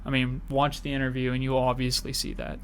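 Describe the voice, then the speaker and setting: A man's voice: medium pitch, completely flat delivery, quick pace, a bit bright, clean tone. A man co-hosting a podcast, talking in an easygoing, casual way with plenty of "you know". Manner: easygoing, laughs often, fillers like "you know". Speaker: podcast co-host. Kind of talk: casual interview chat.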